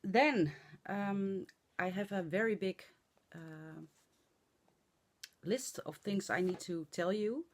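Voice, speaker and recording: A middle-aged woman with a Dutch accent, speaking English as a second language, chatting in a hoarse voice to camera in a rambling, halting way with many fillers. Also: medium pitch. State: hoarse from laughing and talking. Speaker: flosstube vlogger. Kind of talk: casual chat to camera.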